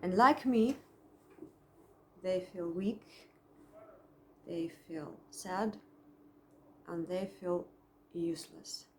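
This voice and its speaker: A middle-aged woman with a Russian accent, a psychologist speaking at home in a thoughtful, halting way, with frequent pauses and restarts. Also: on the low side, a bit bright, slight background noise. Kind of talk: personal reflection to camera.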